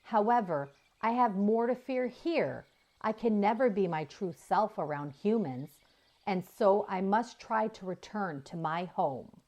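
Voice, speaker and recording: A woman voicing a kind, patient female robot in a children's story, measured and sincere. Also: medium pitch, expressive, a little mellow. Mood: earnest.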